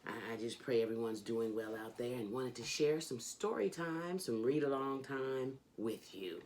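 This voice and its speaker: A woman hosting a children's read-along, reading a storybook aloud in an animated, sing-song storytelling manner. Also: a bass voice, normal speed, a little hiss or hum.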